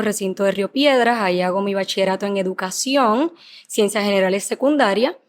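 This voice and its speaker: A woman from Puerto Rico with a Puerto Rican accent, a clinical psychologist telling her story as a podcast guest in a measured, thoughtful way. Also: medium pitch. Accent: Puerto Rican Spanish. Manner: measured, thoughtful, with small fillers. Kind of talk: podcast interview, personal storytelling.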